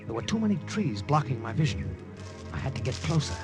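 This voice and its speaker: An actor playing an undercover American agent, narrating a 1950s radio drama in terse, suspenseful style. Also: male voice, medium pitch, huge pitch swings, brisk. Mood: tense, suspenseful. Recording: vintage 1950 radio recording, music behind.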